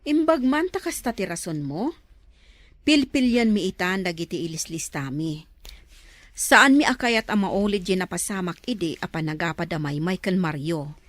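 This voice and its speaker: Actress as a matter-of-fact Filipino village official. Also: female voice, lowish voice, expressive, moderate pace, no echo, natural voice. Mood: businesslike. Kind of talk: comedy drama acting.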